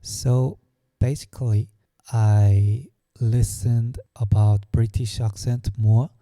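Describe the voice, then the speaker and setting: A young man from Korea with a Korean accent, telling his own story slowly and hesitantly, searching for words. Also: medium pitch, fairly even intonation, dark tone, no room sound. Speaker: vlogger. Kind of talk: personal storytelling.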